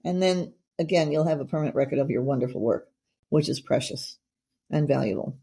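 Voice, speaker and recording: A woman's voice: rumbling low, fairly quick, creaky, dark tone, slightly rough. A middle-aged woman hosting a casual interview, chatty and effusive, rambling from one thought to the next. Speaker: podcast host.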